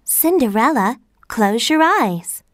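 A woman voicing a kindly little fairy godmother, gently instructing in simple cartoon dialogue for English learners. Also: on the high side, very animated, slow, over music.

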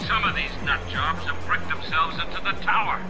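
Man playing a sneering, high-pitched villainous film director. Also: a little fast, natural voice. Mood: menacing instructing. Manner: sneering, taunting, theatrical. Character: sadistic, mocking snuff-film director villain commanding a captive killer. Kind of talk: video game villain acting. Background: music.

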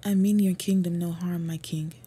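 Breathy, mellow half-orc woman, acted by a woman. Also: lowish voice, fairly even intonation. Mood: solemn, nervous. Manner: formal, earnest, measured. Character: earnest half-orc woman, devoted lover, respectful suitor to royalty. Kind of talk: scripted fantasy roleplay audio.

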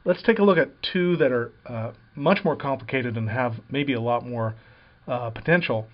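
A man talking through a software tutorial over a screen recording, explaining plainly with frequent uh and um fillers. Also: mid-range voice, moderate pace, lo-fi sound.

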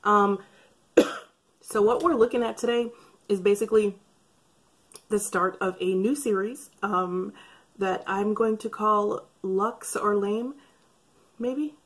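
Woman with a slightly raspy voice. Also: medium pitch, takes it slowly, pauses often. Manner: chatty, wry, rambling asides. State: raspy from breathing trouble. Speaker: beauty vlogger. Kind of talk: casual talk to camera. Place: bright room by a curtained window. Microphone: camera framed head and shoulders.

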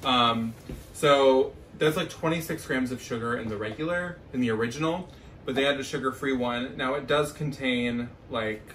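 A young man talks casually and effusively as a vlog co-host. His voice is mid-pitched. It is picked up from a short distance in a roomy space with faint noise. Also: moderate pace, a bit bright. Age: young adult. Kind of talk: casual grocery haul chat to camera. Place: small kitchen at home, indoors. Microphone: camera a few feet away.

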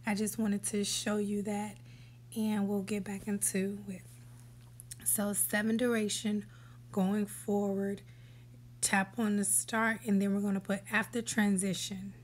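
Woman tutorial host, unhurried, level delivery, casual step-by-step voice-over. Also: medium pitch, slight background noise. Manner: relaxed step-by-step instructions, sometimes whispery. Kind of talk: screen-recorded app tutorial voice-over. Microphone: voice-over for a screen recording.

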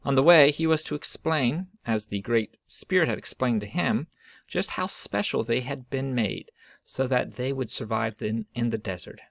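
A man reading a children's picture book aloud in an expressive storytelling style. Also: mid-range voice, moderate pace, gravelly, no echo, clean studio sound. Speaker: storybook reader.

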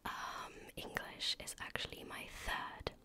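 A woman whose first language is French, whispering softly in a chatty ASMR gameplay talk with many fillers. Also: unhurried. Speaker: gaming ASMR creator. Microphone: desk microphone.

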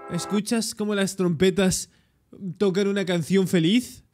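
Young guy, spain spanish accent, high voice, excitable reaction commentary, bit of noise behind. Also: measured pace. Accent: Peninsular Spanish. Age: young adult. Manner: excitable, interjecting. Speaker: reaction video host. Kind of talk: reaction commentary, translating aloud. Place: indoor room, webcam reaction setup. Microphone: desktop streaming microphone.

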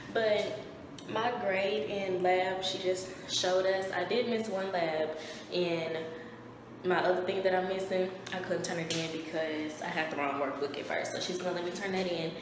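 A young woman in her twenties chatting casually in a vlog from her dorm room, her voice hoarse, filmed on a phone held at arm's length. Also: lowish voice. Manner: chatty, rambling, everyday. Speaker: vlogger. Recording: noisy phone recording.

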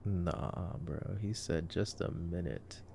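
A man's voice: sits low, slow pace, gravelly, dark tone, slight background noise. A young man giving casual ASMR movie commentary in a laid-back, joking, murmured way. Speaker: ASMR boyfriend roleplayer commenting on a film.

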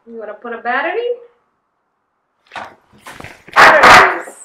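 A woman's voice: high-pitched, big pitch swings, measured pace, sharp tone. A woman chatting casually with a young child as a parent, prompting with short, simple questions. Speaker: parent filming a child's unboxing video. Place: indoors in a room at home. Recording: echoey room, clear background noise.

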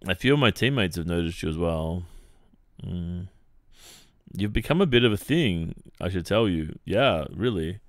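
A man's voice: low-pitched, expressive, moderate pace, no room sound, clean studio sound. An Australian man playing a friendly, teasing young football captain in a hesitant, casual ASMR boyfriend roleplay. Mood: shy, teasing. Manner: hesitant, casual, murmuring hums and chuckles.

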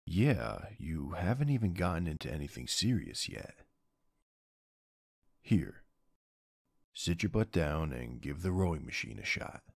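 A flirty gym bro with a booming, gravelly bass voice, played by a man, talking slowly and teasingly. He drawls through his lines in a dry, close-up ASMR roleplay. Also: sing-song, no echo, heavily acted. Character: flirty laid-back adult male gym bro.

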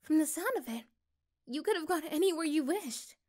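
A woman playing a caring, curious young girlfriend in a soft-spoken, tender ASMR roleplay, breathy at times. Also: high-pitched, lively intonation, normal speed, voice pushed up. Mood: surprised, concerned.

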